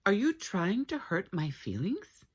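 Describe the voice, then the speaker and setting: Woman playing small female storybook dog, raised pitch, loud, bossy, emphatic, dainty. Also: sing-song, unhurried, breathy edge. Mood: indignant.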